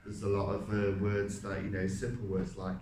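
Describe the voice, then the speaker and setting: A man with a deep voice, a stage actor talking through a role, hesitant with many fillers. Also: completely flat delivery, normal speed, darkish tone, a little hiss or hum. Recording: roomy, from a distance.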